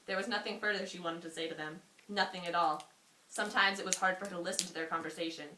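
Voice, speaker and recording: Low-voiced woman reading aloud in an echoey room. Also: steady tone, fast talker. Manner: giggly, informal. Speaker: amateur reader. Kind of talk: reading a story aloud, breaking into laughter and asides. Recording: roomy echo, faint hiss.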